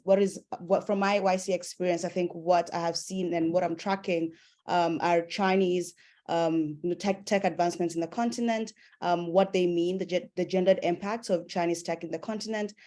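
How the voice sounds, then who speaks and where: A woman from Kenya introducing herself as an alumna panelist on a video call, speaking in a friendly, measured way with some hesitations. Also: on the low side, warm tone. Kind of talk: self-introduction on a webinar.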